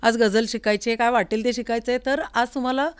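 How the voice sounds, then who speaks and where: A woman's voice: a little high, fairly even intonation, fast, smooth, no room sound. A woman with a Maharashtrian accent hosting an interview in a conversational way, often giving short affirmations. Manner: attentive, frequent short affirmations. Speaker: interviewer, host. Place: recording studio.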